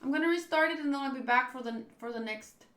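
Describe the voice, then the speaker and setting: A woman game streamer with a high, bright voice. She chats and reads aloud theatrically, often drifting into asides, in a roomy, echoing space. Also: fast talker. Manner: chatty, theatrical, swerving into asides. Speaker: streamer playing a visual novel. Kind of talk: casual live playthrough commentary, reading aloud.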